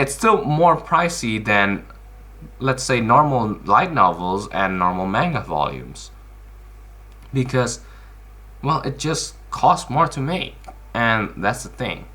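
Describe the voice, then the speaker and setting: A man hosts a casual explainer in a bright, punchy voice. His pitch moves around a great deal as he stresses his points. He is blunt and informal, posing questions and then answering them himself. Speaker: video host.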